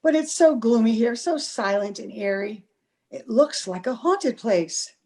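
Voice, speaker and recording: An anxious young sweetheart in an old-time radio drama, played by a woman. Her high voice is earnest and fretful, devoted and persistent, with a melodramatic delivery. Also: expressive, a little slow, dark tone. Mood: pleading. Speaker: amateur radio play actor. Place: home, over a video call.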